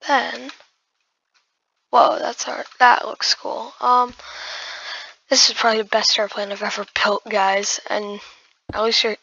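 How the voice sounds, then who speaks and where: A hoarse woman who has just woken up, giving casual gameplay commentary while building and often muttering in half-whispers to herself. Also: a little high, little pitch movement, measured pace, long pauses, pushed and bright, lo-fi sound. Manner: muttering half-whispered asides to self, addressing viewers as guys. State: hoarse, losing voice after just waking up. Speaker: gaming video creator.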